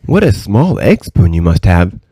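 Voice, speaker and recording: A man's voice: sits low, big pitch swings, a little slow, warm tone, breathy edge, no echo, clean studio sound, exaggerated voice. A man voicing a curious, eager little princess, an innocent girl full of questions. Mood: eager, inquisitive.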